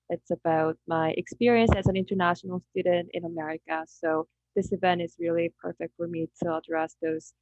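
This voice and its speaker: A woman's voice: fairly low pitch, darkish tone, no echo, muffled recording. A woman from Korea, a memoir author speaking as a panelist in an earnest, organized way. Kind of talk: online panel discussion.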